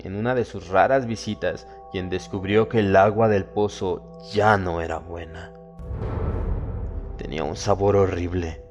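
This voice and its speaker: Low-voiced man narrating horror over music. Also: a little mellow. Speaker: audiobook narrator. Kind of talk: dramatic horror audiobook narration. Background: background music.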